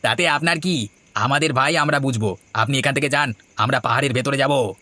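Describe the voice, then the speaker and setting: A man's voice: mid-range voice, brisk, sharp tone, no room sound, close to an everyday voice. A man voicing a brash, greedy elder brother in a cartoon, insistent and exclaiming. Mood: defiant. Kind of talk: Bengali moral-tale cartoon voice acting.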